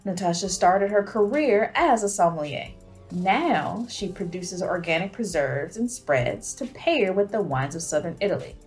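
A woman's voice: lowish voice, lively intonation, unhurried, faint music behind. A woman hosting a podcast, reading scripted narration with an upbeat delivery. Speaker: podcast host.

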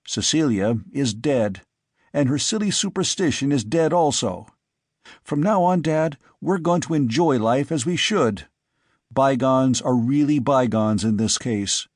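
A man's voice: mid-range voice, animated, measured pace, no room sound, clean studio sound. A man voicing an earnest, agitated young man who pleads insistently with his father. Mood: forced cheer.

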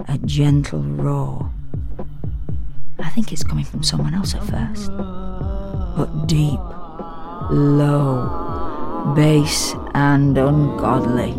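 An actress playing a sardonic, self-deprecating woman in an intimate, confiding first-person audio drama monologue. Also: low-pitched. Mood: awed, intense. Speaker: solo performer reading a dramatic monologue. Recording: music under the voice.

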